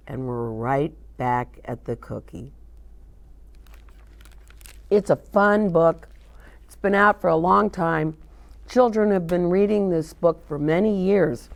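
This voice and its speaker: A woman hosting a children's story time, reading aloud and chatting in an animated, sing-song way, often putting questions to her young listeners. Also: medium pitch, gravelly. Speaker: children's storyteller and show host.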